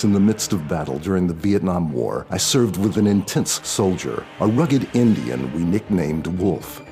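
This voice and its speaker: A man reading his own novel as a scripted audiobook, dramatic and solemn in a deliberate storytelling manner. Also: low voice, a little mellow.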